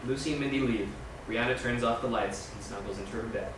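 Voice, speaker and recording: A man reads stage directions quickly in a very echoey, noisy room, some way from the mic. His delivery is scripted narration, moving briskly through screenplay scene descriptions. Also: mid-range voice, distant mic. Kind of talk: reading screenplay directions aloud.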